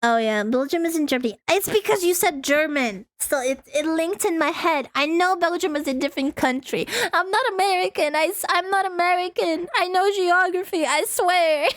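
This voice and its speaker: A woman's voice: very high-pitched, moderate pace, hardly any pauses, no room sound. A woman streaming a visual novel, reading the text aloud and breaking into playful, casual asides. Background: faint music.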